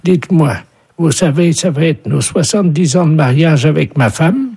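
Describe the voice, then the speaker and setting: An actor playing a befuddled elderly husband on a prank phone call, husky, politely insistent and stubbornly mishearing everything. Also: medium pitch, moderate pace, dark tone. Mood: obtuse, cheerful. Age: older.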